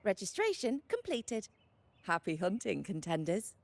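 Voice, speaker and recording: A woman's voice: medium pitch, huge pitch swings, unhurried, no echo. A woman playing a polite, formal registration clerk in a video game, speaking in a courteous, official way.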